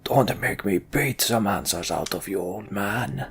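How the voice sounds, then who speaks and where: A man voicing a stern, decisive giant warrior leader in a fantasy game, with slow, weighty, commanding speech. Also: on the low side, animated, vocal fry, fairly bright tone, breathy edge, soft background music, full character voice. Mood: firm.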